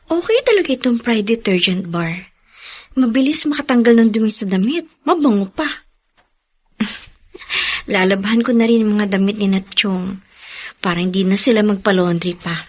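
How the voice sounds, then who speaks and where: A woman's voice: medium pitch, moderate pace, pauses often, natural voice. An actress playing a grateful, tearful young single mother in a Filipino radio drama. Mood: chatty.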